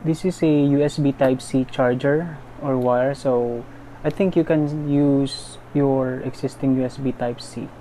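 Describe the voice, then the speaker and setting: Male unboxing narrator, mid-pitched, unhurried, casual and tentative; noisy recording. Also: steady tone, dark tone. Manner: tentative, thinking aloud. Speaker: unboxing video maker. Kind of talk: casual unscripted product unboxing commentary. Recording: clearly noisy background.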